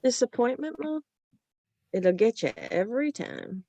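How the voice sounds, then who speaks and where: A woman's voice: fairly high pitch, animated, a little slow, dark tone. A woman acting wry, defensive women in a comedy screenplay table read. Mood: groggy, rueful.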